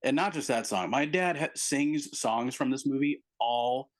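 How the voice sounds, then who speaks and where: A man's voice: mid-range voice, unhurried. A man co-hosting a casual movie podcast, rambling with fillers and poking fun at himself. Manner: rambling, self-deprecating, many fillers. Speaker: podcast co-host.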